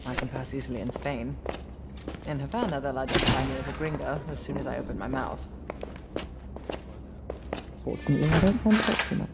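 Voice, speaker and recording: An actress playing a poised, sardonic British intelligence agent in a video game, articulate and measured, with a dry manner. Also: female voice, extremely low pitch, heavy background noise. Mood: matter-of-fact. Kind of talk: scripted video game cutscene acting.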